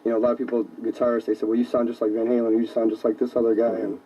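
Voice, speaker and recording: A man's voice: mid-range voice, completely flat delivery, racing, mellow, breathy edge. An American man, a rock guitarist, chatting casually in a radio interview, rambling and often saying "you know", on a lo-fi tape. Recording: distant, dull lo-fi radio aircheck tape.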